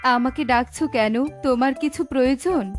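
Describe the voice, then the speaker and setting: Woman playing a calm magical water fairy. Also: sits high, normal speed, over music, voice pushed down, lightly acted. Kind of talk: children's fairy-tale cartoon acting.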